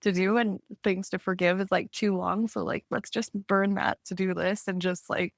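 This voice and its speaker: A woman's voice: mid-range voice, steady tone, normal speed, gravelly, no room sound, clean studio sound. A woman from Canada talking candidly and casually as a client in a healing session, rambling and self-reflective, often saying "like". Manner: informal, rambling, self-reflective, with frequent 'like'. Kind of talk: candid, casual therapeutic conversation.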